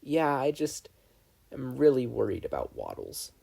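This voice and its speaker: A man's voice: mid-range voice, wildly swooping pitch, unhurried, creaky, warm tone, breathy edge, full character voice. A man voicing an anxious, self-doubting boy adventurer in a story, hesitant and stammering. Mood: nervous, hesitant.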